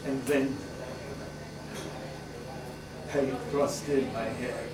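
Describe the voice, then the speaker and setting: An older man plays a cunning, gleeful madman confessing to murder on a gothic stage. He draws his words out very slowly, with theatrical pauses and outbursts. It is picked up from a distance in an echoing, noisy room. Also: mid-range voice, fairly even intonation, crowd noise, lightly acted. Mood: sly, boastful. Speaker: stage actor. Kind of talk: live dramatic reading of a gothic horror monologue. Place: small brick-walled cafe with seated audience. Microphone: distant camera microphone. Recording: roomy, noisy recording from across the room.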